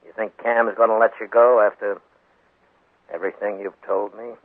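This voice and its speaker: An American man playing a dry, shrewd, unyielding frontier marshal in a 1950s radio western, speaking in a laconic, wry, deliberate way. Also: on the low side, level tone, lightly acted. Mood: coolly provoking. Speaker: lead actor in radio western. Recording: muffled, band-limited 1950s radio with echo.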